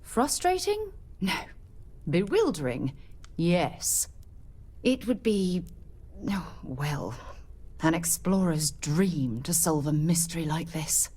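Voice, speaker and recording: A woman playing an earnest, thoughtful leader of space explorers in a video game, measured and slightly reserved. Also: fairly low pitch, sing-song, warm tone, breathy edge, full character voice. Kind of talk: video game dialogue acting.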